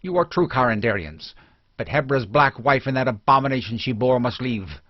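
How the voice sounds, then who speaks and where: A man playing a hostile, threatening village mob man in a fantasy audiobook, forceful and stern. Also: mid-range voice, lively intonation, moderate pace, no echo, pitched above the performer's own voice, natural voice. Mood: stern, menacing. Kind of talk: dramatic fantasy audiobook dialogue. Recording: dull lo-fi recording.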